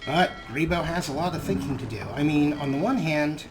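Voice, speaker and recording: A man's voice: on the high side, brisk, background noise. A man hosting a let's play, giving wry, musing commentary on his character in the third person.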